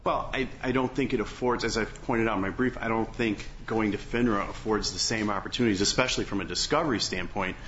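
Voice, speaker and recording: A man speaking as a lawyer in oral argument on appeal, halting and hedging, often repeating his phrases. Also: fairly low pitch, a bit bright. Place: courtroom. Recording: muffled courtroom recording, faint background noise.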